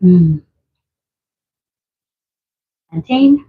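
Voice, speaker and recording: Chatty Trinidadian woman, husky voice. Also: lowish voice, lively intonation, extremely slow, narrow, tinny audio. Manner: chatty and playful, laughing often. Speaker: vlogger hosting a live stream. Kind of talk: casual live-stream chat, reading out viewer comments. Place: at home.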